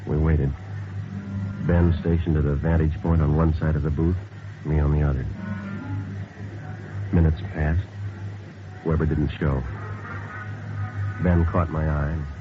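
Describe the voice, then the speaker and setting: A man playing a terse, stoic American police sergeant in a 1950s radio drama, narrating and talking in a clipped, deadpan way. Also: very deep voice, measured pace, pauses often. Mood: matter-of-fact. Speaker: narrator and lead detective. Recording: dull vintage radio recording with music.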